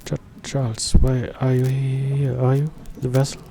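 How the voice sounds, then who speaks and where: A frail, tormented woman, acted by a man in a story game. The voice is soft and dark, faint and halting, with broken, pleading phrases and slight noise behind. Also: mid-range voice, completely flat delivery, creaky. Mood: startled, weak.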